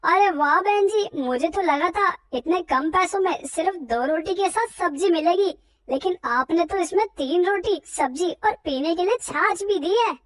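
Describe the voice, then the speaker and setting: A woman's voice: very high-pitched, expressive, moderate pace, a bit bright, no echo. A woman voicing a friendly, eager male customer in a cartoon story, talking in an exclaiming way. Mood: delighted. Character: cheerful male office worker customer, friendly.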